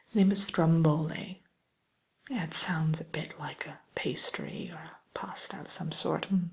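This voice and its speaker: A softly whispering female matchmaker for villains, played by a woman in an ASMR roleplay. She takes her time, keeping a slightly low, breathy voice. Her manner is discreet and courteous, with hesitant tact and a gently dry edge. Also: fairly even intonation, a little slow, low-quality recording. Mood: tactful, wry.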